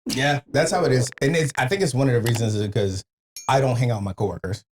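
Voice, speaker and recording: A man's voice: medium pitch, fast, slight background noise. A man chatting casually on a podcast, joking in slangy, profane talk. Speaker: podcast speaker.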